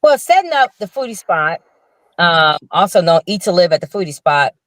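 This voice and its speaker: A woman's voice: on the low side, big pitch swings, moderate pace, fairly bright tone, no echo. An older woman and restaurant owner, a guest telling her story in an earnest, casual way with frequent restarts over a video call. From Chicago. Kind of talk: casual interview talk.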